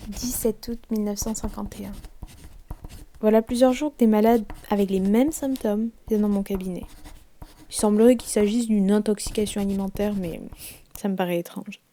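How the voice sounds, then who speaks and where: A woman playing a composed, attentive 1950s village doctor in an audio drama, speaking in a measured, questioning way. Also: medium pitch, fairly even intonation, mellow, natural voice. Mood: attentive, puzzled. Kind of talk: audio fiction acting, journal narration.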